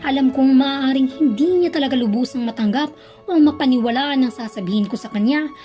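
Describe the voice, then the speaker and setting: A woman's voice: high-pitched, brisk, warm tone, faint music behind, lightly acted. A young woman with a Filipino accent playing a lovesick narrator confessing hidden love in a radio drama. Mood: anxious, resolved.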